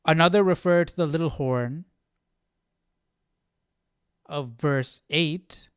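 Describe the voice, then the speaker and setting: A man's voice: fairly high pitch, slow pace, clean tone, dry recording, studio quality. A man hosting a livestream Bible study, talking informally and thinking aloud. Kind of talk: casual livestream chat and study commentary.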